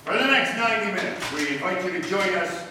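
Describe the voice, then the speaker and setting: A distant, reverberant hall recording with clear noise carries a man's voice. He hosts and narrates a scripted live radio show in a fairly high register. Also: expressive, fast. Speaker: host and narrator. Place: large hall, live performance.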